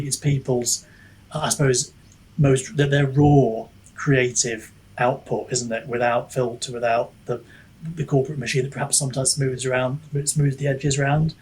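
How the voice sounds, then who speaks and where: Husky-voiced man thinking aloud in casual discussion. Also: mid-range voice, darkish tone, low-quality recording. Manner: reflective, hesitant, hedging, thinking aloud. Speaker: podcast guest, author and book reviewer.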